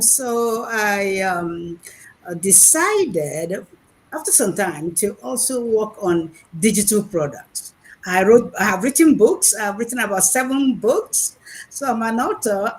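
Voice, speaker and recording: A woman in her sixties with a Nigerian accent, giving a chatty, halting speech over a video call at an online graduation. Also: medium pitch. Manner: chatty and animated, restarts and repeats words, hesitant fillers. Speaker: graduating program participant, retired math lecturer.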